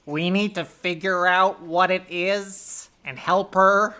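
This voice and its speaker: An ambitious female copy aide in a comic audio play. Her voice is on the low side for a woman. She talks in a serious, earnest, slightly officious way. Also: steady tone, slow, pushed and bright. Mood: flustered. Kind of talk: comedic audio drama acting.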